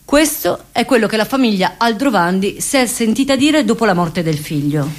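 A woman's voice: mid-range voice, expressive, moderate pace. Casting: female narrator for scripted documentary theatre, middle-register voice.

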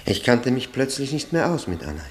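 An actor playing a tormented, bitter man confessing his ruined marriage in a brooding, halting monologue, in the style of 1960 radio drama. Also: medium pitch, big pitch swings, natural voice.

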